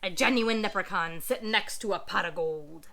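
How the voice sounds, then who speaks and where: A woman voicing a gruff, stubborn male pirate captain in a storybook read-aloud, growling pirate talk with dropped endings. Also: medium pitch, normal speed, fairly bright tone, pitched below the performer's own voice.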